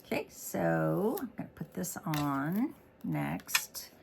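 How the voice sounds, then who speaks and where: A middle-aged woman demonstrating card making in a chatty step-by-step tutorial, slightly hoarse as she is losing her voice. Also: sits low, big pitch swings, takes it slowly, noisy background. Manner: chatty, walks through each step. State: losing her voice, throat clearing. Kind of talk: casual crafting tutorial.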